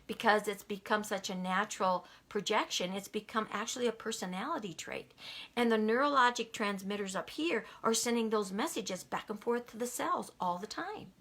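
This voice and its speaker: A woman's voice: mid-range voice, normal speed, fairly bright tone. A woman giving a motivational talk, emphatic and repeating key lines for effect. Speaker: motivational speaker.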